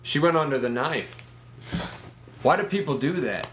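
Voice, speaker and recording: A man's voice: medium pitch, lively intonation, normal speed, reverberant, a crowd in the background. A man co-hosting a podcast, rambling and joking in casual comedic banter. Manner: rambling, joking, self-deprecating. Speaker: podcast co-host.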